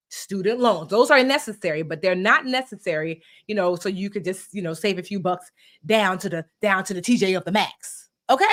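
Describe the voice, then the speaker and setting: A woman's voice: mid-range voice, fairly bright tone. A woman in her forties hosting a live personal finance session, explaining credit in a playful, sassy way and speaking straight to her audience. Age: 40s. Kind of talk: live-stream teaching chat.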